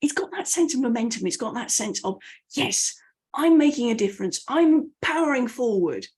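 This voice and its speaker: A woman's voice: a little high, big pitch swings, moderate pace, a little mellow, breathy edge. A British woman coaching her audience to camera, telling anecdotes with self-deprecating asides. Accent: British English. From United Kingdom. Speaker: message clarity coach.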